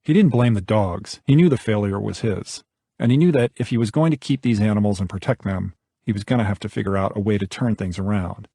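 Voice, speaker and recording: A man's voice: lowish voice, a little fast, mellow, husky, no room sound, clean studio sound. A man narrating a nonfiction audiobook from a script.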